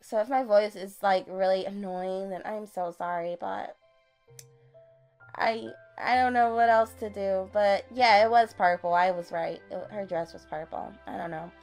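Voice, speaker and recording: A young woman with a deep voice, recording a casual late-night game voiceover at home on a desktop mic, chatty and self-deprecating. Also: unhurried, darkish tone, faint music behind. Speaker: gaming YouTuber. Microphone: desktop USB mic.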